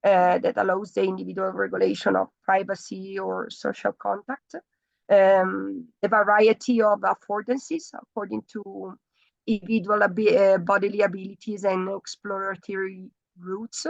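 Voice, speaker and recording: A woman's voice: medium pitch, level tone, unhurried, gravelly, no room sound, highs cut off. A woman with an Italian accent presents her architecture thesis on a video call, hesitating often. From Italy. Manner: hesitant, many fillers and restarts. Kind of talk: online thesis presentation, halting.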